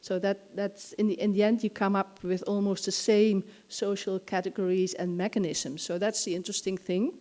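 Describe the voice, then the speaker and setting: A woman's voice: mid-range voice, level tone, moderate pace, hardly any pauses, dark tone. An older woman from the Netherlands giving an academic conference presentation, thinking aloud with some hesitation. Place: hotel conference room. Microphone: podium gooseneck microphone.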